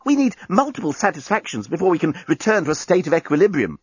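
A man voicing an amorous, excitable alien journalist in a comic sci-fi audiobook, earnestly explaining and protesting. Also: fairly high pitch, fast, slightly rough, no echo, dull audio, pitched above the performer's own voice. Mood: earnest, aroused. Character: amorous male alien journalist, pleading, excitable, self-important. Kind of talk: comic science fiction audiobook.

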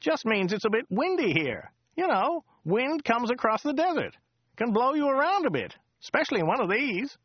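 A man voicing a chatty, excitable driver who rambles, repeats himself and laughs at his own jokes. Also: moderate pace, slightly rough, dry recording, highs cut off, exaggerated voice. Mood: rambling chatter. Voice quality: high, loud and excitable. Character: chatty loud male American taxi driver. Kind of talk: audiobook character voice.

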